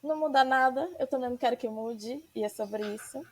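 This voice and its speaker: A woman's voice: high-pitched, a little fast. A woman from Salvador with a Bahian accent, hosting a podcast in a casual, chatty way with slang. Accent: Bahian Portuguese. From Salvador, Bahia, Brazil. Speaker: podcast host. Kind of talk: podcast interview.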